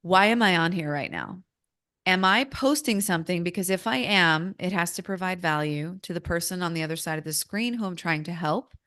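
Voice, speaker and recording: A woman with an American accent speaking conversationally as an interviewer, in reflective, deliberate phrases. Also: fairly low pitch, expressive, a bit bright.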